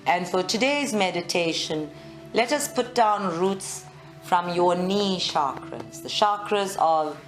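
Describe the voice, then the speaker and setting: A woman guiding a live meditation in a measured, soothing way, with long pauses. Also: lowish voice. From South Africa. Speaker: meditation guide. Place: group meditation session at a centre, recorded live.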